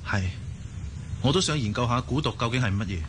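A man's voice: medium pitch, animated, a little fast, a bit bright, a little hiss or hum, close to an everyday voice. A man acting in a Hong Kong Cantonese radio drama as an earnest young hospital doctor who is evasive and hesitant. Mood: concerned, earnest. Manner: hesitant, stammering.

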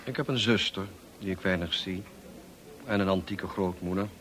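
An actor playing a brusque, dryly witty upper-class gentleman in a radio play, clipped and commanding, on a slightly noisy old recording. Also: deep voice, moderate pace. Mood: dry, teasing. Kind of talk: radio drama acting.

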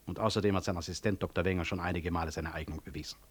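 An actor playing a composed, sardonic senior hospital director in a 1960s radio drama, speaking with dry irony. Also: male voice, low-pitched, steady tone, racing, slightly rough. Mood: ironic. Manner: dry, ironic. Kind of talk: 1960s German-language radio drama acting.

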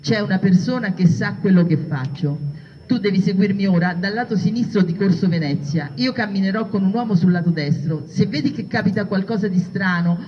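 A woman voicing an urgent young male partisan who gives commanding, deliberate instructions in a dramatic reading. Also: medium pitch, close to an everyday voice. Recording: distant, dull muffled sound.

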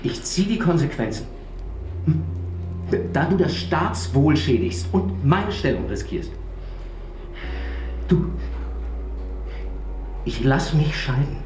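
A stern statesman husband, mellow in tone with a very animated pitch range. He reproaches his wife in formal, controlling stage-drama phrases. The sound is roomy, with faint music underneath. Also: takes it slowly, long pauses. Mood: stern, bitter. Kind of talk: theatrical German stage drama dialogue.

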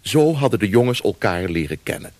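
An actor playing a wry storyteller, narrating a radio play expressively with savoured pauses and asides. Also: male voice, lowish voice, big pitch swings, darkish tone, dry recording. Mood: wry, savouring. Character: wry male storyteller of old Amsterdam.

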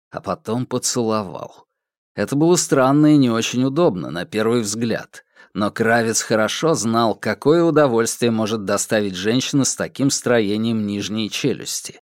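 Male audiobook reader for fiction, middle register, varied expressive melody, dry recording. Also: medium pitch, moderate pace, dry recording. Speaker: audiobook narrator. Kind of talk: scripted fiction narration.

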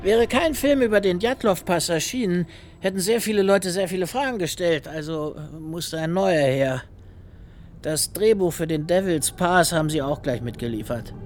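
A man's voice: sits high, normal speed, darkish tone, a little hiss or hum, natural voice. A man playing an agitated, boastful film director in a radio play, stammering as he rants with derision. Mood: scornful, bitter. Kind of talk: dramatic radio play dialogue.

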